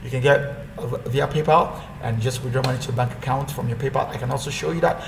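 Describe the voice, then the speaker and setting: A man's voice: mid-range voice, fairly even intonation, fast, gravelly. Rambling man in an echoey room. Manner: rambling, self-correcting, repeats phrases. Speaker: online earning tutorial host. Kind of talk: casual screen-share walkthrough. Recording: echoey room with faint background hum.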